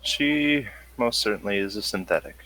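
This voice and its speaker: A man voicing a calm, formal android who speaks in deliberate phrases in a tabletop game. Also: on the low side, low-quality recording. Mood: measured, clinical. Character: measured, formal male android advising calmly.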